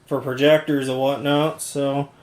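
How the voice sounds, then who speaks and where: A man's voice: mid-range voice, flat delivery, measured pace. A man talking informally in a hands-on product demo vlog, rambling a little and correcting himself as he goes. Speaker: video maker reviewing audio gear.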